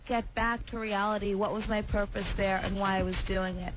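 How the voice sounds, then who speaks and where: A woman's voice: medium pitch, steady tone, brisk. A woman speaking candidly and matter-of-factly as a guest in a casual interview. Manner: frank, matter-of-fact. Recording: clearly noisy radio interview recording with clicks.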